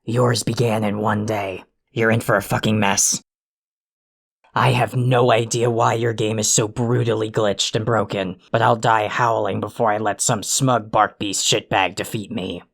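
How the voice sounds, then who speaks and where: An actor performs a cranky alien troll for an audio drama. The voice is gravelly and full of creak. The delivery is bossy, pompous and scathing, like someone forever fed up. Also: medium pitch, little pitch movement, a little slow. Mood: pompous, grumpy. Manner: ranting, insulting, pompously wordy. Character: irritable, foul-mouthed, bossy alien troll, self-important.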